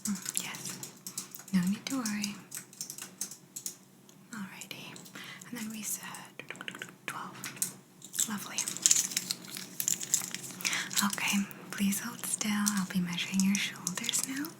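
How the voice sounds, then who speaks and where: A woman playing a calm, teasing alien examiner in an ASMR roleplay, in a soft breathy whisper, soothing and politely clinical. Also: mid-range voice, close to an everyday voice, rough recording. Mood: reassuring, attentive. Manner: slow, soothing, politely clinical with playful condescension.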